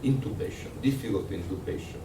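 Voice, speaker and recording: A man with an Italian accent giving a workshop lecture, conversational and halting, with many fillers and restarts. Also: low-pitched. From Italy. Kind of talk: workshop lecture with audience questions. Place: lecture room. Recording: echoey room, distant mic, noisy.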